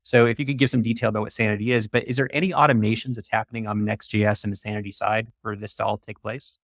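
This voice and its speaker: A man's voice: fairly low pitch, little pitch movement, racing, a bit bright, no room sound, clean studio sound. A man hosting an interview, speaking conversationally as he comments and puts questions to guests. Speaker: show host.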